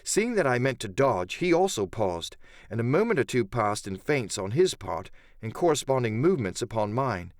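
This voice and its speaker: A man's voice: medium pitch, big pitch swings, moderate pace, no echo, clean studio sound. A man narrating an adventure audiobook in the first person, with theatrical, literary storytelling.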